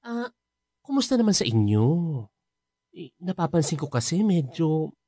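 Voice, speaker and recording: A woman's voice: deep voice, big pitch swings, normal speed, warm tone, no room sound, clean studio sound. A woman acting a chatty, supportive young Filipino best friend in a radio drama, playful and full of exclamations. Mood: curious, sympathetic.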